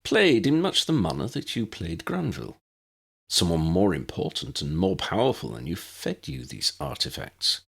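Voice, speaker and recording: A man voicing an erudite, unflappable old wizard in a fantasy audiobook, measured and pedantic with a needling edge. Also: low voice, sing-song, gravelly, fairly bright tone, husky, exaggerated voice. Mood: probing, mocking. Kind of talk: fan-fiction audiobook character voice.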